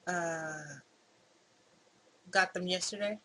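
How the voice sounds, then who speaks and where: A gamer voicing a defensive, hesitant young man in a visual novel, with stammering, halting protests. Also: mid-range voice, fairly even intonation, a bit bright, rough recording. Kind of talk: visual novel character reading. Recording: clear background noise.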